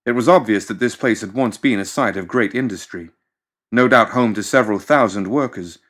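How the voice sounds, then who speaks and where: A man with a fairly low voice narrates a story for an audiobook. His delivery is measured and dramatic, shaped as scripted fiction storytelling. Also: a bit bright.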